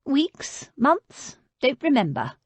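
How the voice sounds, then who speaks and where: A woman voicing a frail, proud, sharp-tongued elderly aristocratic lady with a haughty, querulous manner. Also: high-pitched, wildly swooping pitch, slow pace, husky, no echo, voice pushed up, exaggerated voice. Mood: proud, forlorn.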